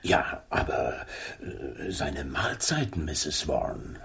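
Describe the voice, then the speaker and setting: Detective's mild companion for radio play: deep voice, unhurried, polite, tactful, slightly hesitant. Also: male voice, very animated, creaky, a bit bright, breathy edge, full character voice. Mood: puzzled, reasonable. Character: courteous, mild gentleman, the detective's reasonable, helpful companion. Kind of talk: German radio drama acting.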